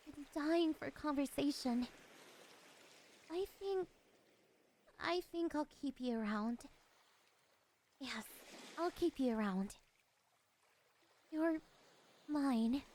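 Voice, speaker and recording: High-voiced, lonely, possessive siren, acted by a woman. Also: takes it slowly, pauses often, darkish tone, slight background noise. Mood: possessive, coaxing. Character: lonely, possessive female siren, curious and soft-spoken. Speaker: voice actress. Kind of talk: ASMR roleplay monologue.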